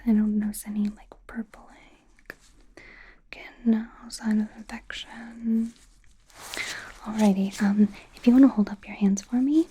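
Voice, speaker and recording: A woman voicing an awkward, gentle werewolf doctor in a hesitant, breathy whisper for an ASMR roleplay. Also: mid-range voice, fairly even intonation, a little hiss or hum, natural voice. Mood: gently clinical. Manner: hesitant, halting, softly reassuring. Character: awkward, curious young female werewolf doctor, gentle and apologetic. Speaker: ASMR performer.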